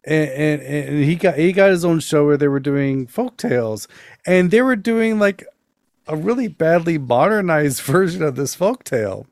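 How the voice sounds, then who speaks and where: A man's voice: fairly high pitch, lively intonation, normal speed, darkish tone, dry recording. A man hosting a podcast, telling stories and anecdotes in a casual way on a close microphone. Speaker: podcast host and storyteller. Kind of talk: spooky storytelling and casual anecdotes.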